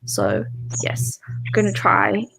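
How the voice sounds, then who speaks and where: A woman's voice: mid-range voice, big pitch swings, slow pace, vocal fry, a bit bright, rough recording. A woman chatting casually as co-host of a livestream about books, on a video call. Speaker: co-host of a book readalong livestream. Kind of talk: casual chat.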